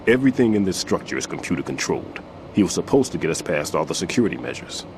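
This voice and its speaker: An actor playing an aging, retired bomb disposal expert in a video game, a weary mentor who explains things in a measured, authoritative way. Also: male voice, sits low, very animated, noisy background. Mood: matter-of-fact. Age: older.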